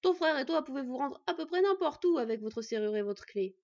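A woman's voice: high-pitched, animated, fairly quick, a little mellow, highs cut off, pitched above the performer's own voice. A woman voicing a jolly, boisterous male smith who talks in a hearty, teasing way.

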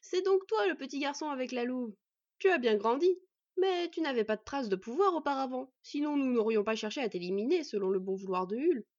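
A woman's voice: high-pitched, expressive, fairly quick, darkish tone, dry recording, voice pushed up. Woman putting on a jeering bandit chief. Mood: amused, gloating. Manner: mocking, threatening. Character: menacing, jeering male bandit chief, a brutish hunter. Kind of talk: storybook villain voice. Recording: dull, slightly distant microphone.

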